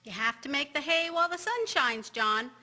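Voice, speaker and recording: A woman playing a practical, no-nonsense wife in a period radio drama, brisk in manner. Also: sits high, animated, bright, forceful tone, full character voice. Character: brisk, practical, lively farm-country wife. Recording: faint noise with clicks.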